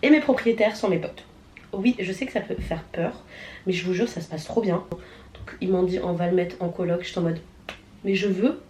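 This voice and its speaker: A woman's voice: on the low side, moderate pace, a little mellow, slight background noise. A woman in her late twenties from southern France, chatting casually in a vlog with many fillers and self-mocking asides. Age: late 20s. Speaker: vlogger. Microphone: handheld camera.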